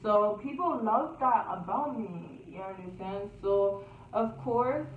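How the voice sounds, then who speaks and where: A young woman telling a casual storytime vlog in a chatty, repetitive way, laughing at herself now and then. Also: mid-range voice. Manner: slow, chatty, repeats phrases, laughs at herself. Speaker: YouTuber. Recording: echoey, distant, phone-like, faint hiss.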